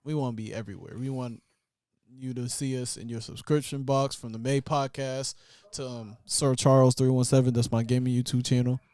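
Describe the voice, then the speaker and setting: A man's voice: mid-range voice, little pitch movement, fairly quick, dark tone, no room sound, clean studio sound. A man in his twenties co-hosting a podcast, laid-back and slangy, talking straight to his listeners. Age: 20s. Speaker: podcast co-host. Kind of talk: casual chat.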